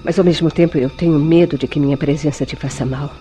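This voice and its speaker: An actress playing a possessive, remorseful femme fatale in a melodramatic radio drama, speaking with ardent, formal diction. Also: female voice, low voice, fairly even intonation, fast talker, mellow, faint music behind, lightly acted. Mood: soothing. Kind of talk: old-fashioned melodramatic radio drama acting.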